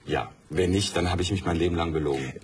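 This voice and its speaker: Man, very low voice, chatty, thoughtful, filler words, faint hiss. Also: quick pace. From Germany and Norway. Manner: chatty, reflective, many fillers. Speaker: studio guest. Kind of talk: casual conversation.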